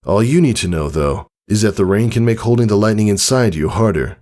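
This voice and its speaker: A man's voice: fairly low pitch, animated, brisk, a little mellow, pitched above the performer's own voice, natural voice. Man playing an earnest, patient pegasus dad. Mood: instructive. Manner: earnest, instructional, encouraging. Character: caring, patient adult male pegasus father coaching his child. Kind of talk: dramatized storybook character reading.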